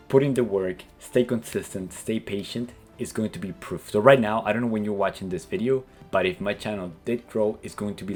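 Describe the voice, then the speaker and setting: A man's voice: on the low side, a little mellow. A man from South America with a South American accent, earnestly explaining his plans in a casual vlog, peppered with "like" and "kinda". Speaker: YouTube creator and business coach. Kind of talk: casual talking-head vlog.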